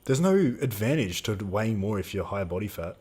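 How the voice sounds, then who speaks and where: A man's voice: lowish voice, big pitch swings, brisk, darkish tone, husky. A man in his twenties co-hosting a live Q&A stream, chatting casually and reading out viewer questions. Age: 20s. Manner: casual slangy, swears, reads out chat questions.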